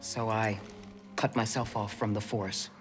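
A woman playing a calm, middle-aged former warrior mentor in a cinematic video game, measured and earnest. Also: a bass voice, soft background music. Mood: solemn.

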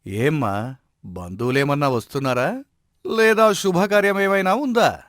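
A man voicing a respected village president who settles disputes in an animated moral story, talking in an avuncular way. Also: a little high, measured pace, no echo, clean studio sound. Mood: genial.

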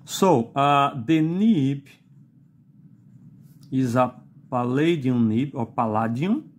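A middle-aged man with a Brazilian Portuguese accent, not a native English speaker, reviewing a fountain pen at a desk, slow and halting with many "uh"s and a questioning "okay?". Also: medium pitch, expressive. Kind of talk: unscripted product review while writing. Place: indoors at a desk.

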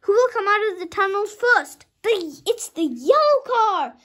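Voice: very high voice, animated, measured pace, sharp tone. A young child of about five reading a storybook aloud dramatically, sometimes stumbling over words and rereading them.

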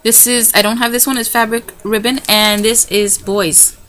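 A woman's voice: a little high, fairly even intonation, normal speed, fairly bright tone. A middle-aged woman chatting casually and gushing as she shows off craft supplies in a home video. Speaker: craft vlogger. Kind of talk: casual show-and-tell unboxing. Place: home room by a window. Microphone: camera in front of her, hands in frame. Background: TV and children's noise.

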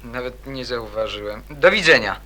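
A man's voice: medium pitch, moderate pace, pushed and bright, a little hiss or hum, close to an everyday voice. An actor playing a proud, troubled teenage boy in a radio drama, terse and defensive or earnestly pleading. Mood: guarded, curt. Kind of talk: Polish radio drama acting.

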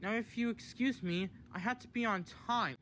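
A man voicing an indignant, high-pitched diesel engine. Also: moderate pace, a bit bright, slight background noise, rough recording. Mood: stern, indignant. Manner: indignant, emphatic. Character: earnest diesel engine, severe when wronged, forgiving.

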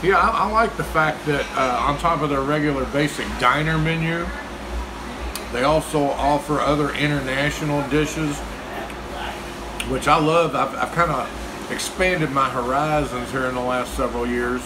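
A man's voice: a little high, normal speed, background noise. A man, chatting casually as he reviews diner food while eating. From Kentucky, US. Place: small diner, indoors. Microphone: phone camera across the table.

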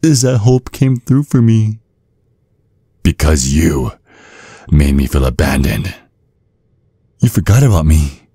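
A man playing a seductive, vengeful vampire in an ASMR roleplay, taunting in a sultry, husky, breathy voice. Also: extremely low pitch, sing-song, slow pace, pauses often, mellow, no echo, clean studio sound, exaggerated voice. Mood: bitter, taunting. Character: seductive, vengeful male vampire, former protégé of a priest.

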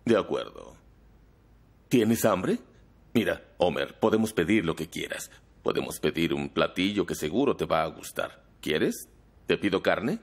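Empresario poderoso de voz muy grave. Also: male voice, deep voice, big pitch swings, unhurried, heavily acted. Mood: courteous, insistent. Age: middle-aged. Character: middle-aged powerful businessman, smooth-talking, calculating and coercive. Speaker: dubbing actor in a TV drama. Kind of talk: dubbed television drama dialogue.

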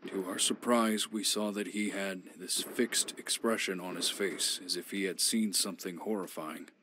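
A man voicing a shipwrecked survivor who narrates island horrors for a game in a somber, measured way. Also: low voice, steady tone, sharp tone, slight background noise.

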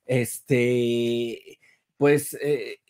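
A young man with a Mexican accent, co-hosting a gossip chat over a video call from a room at home, animated and mocking as he laughs. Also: medium pitch, very slow, clean tone. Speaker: co-host.

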